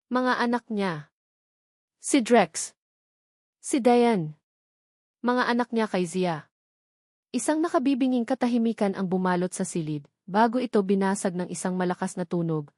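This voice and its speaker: A Filipino woman reads a dramatic story in a mid-range voice. Her phrasing is measured, with pauses placed for weight. The recording is dry, with very little room sound. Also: medium pitch, a little mellow, no room sound. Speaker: audiobook narrator. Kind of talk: scripted dramatic story narration.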